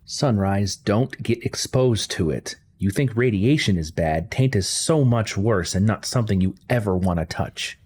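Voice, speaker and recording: A man voicing a worried, cautioning pony stallion with a husky voice in an audiobook drama. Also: on the low side, lively intonation, a little slow, few pauses, darkish tone, slight background noise. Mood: grave warning. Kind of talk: audiobook drama character acting.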